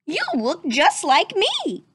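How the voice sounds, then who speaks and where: A woman voicing a cheerful, humble young male mouse peddler in a children's storybook, high and exclamatory. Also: wildly swooping pitch, takes it slowly, noisy background, heavily acted. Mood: amazed. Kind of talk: children's storybook read-aloud.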